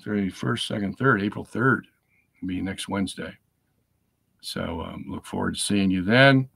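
Hoarse middle-aged man, gravelly. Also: low-pitched, normal speed, a bit bright, no room sound. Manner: chatty, repeats "okay?" to check in with viewers. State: hoarse from a lingering cold. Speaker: host of a live stream. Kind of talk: casual live-stream talk. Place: home study lined with bookshelves.

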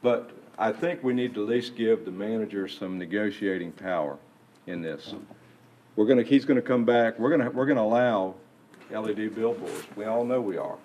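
A lower-pitched man speaks at a council dais. He argues a point in a rambling, persuasive way, restarting his phrases as he goes. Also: normal speed, a little mellow, a little hiss or hum. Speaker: city council member. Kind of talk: council debate remarks. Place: council chamber.

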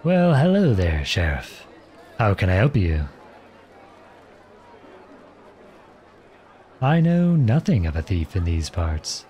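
Casting: male actor as young outlaw prince for audio roleplay, protective and folksy, slow delivery with lively intonation. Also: sits low, slight background noise. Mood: polite, evasive. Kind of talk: ASMR roleplay acting.

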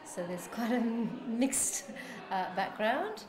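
A woman's voice: on the high side, sing-song, slow. A middle-aged woman from Mauritius talking casually to the camera in a chatty way. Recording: echoey room with crowd chatter.

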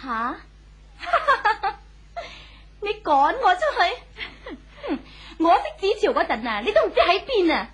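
Very high, shrill jealous woman. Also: sing-song, slow, pauses often, heavily acted. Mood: taunting, demanding. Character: jealous, volatile married woman, desperate and combative. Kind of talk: 1970s radio drama acting. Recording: noisy old radio tape with clicks.

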